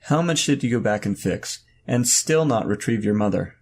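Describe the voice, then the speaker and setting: An actor playing a mysterious robed male mentor, stern and cryptic, speaking gravely and formally in an audio drama. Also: fairly low pitch, animated, normal speed, slight background noise. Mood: stern, reproachful. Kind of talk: audio drama character dialogue.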